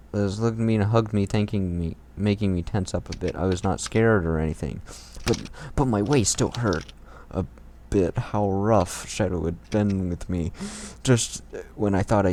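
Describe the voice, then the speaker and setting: A man's voice: deep voice, warm tone, slight background noise. A man reading fan fiction as an amateur, stumbling over words and breaking into casual commentary.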